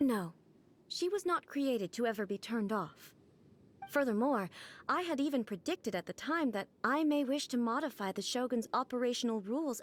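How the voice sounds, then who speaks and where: A poised, aloof ruler, slightly high voice, measured and formal. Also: female voice. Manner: calm, measured, formal speech. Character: composed regal woman ruler, aloof and formal. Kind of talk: video game cutscene dialogue.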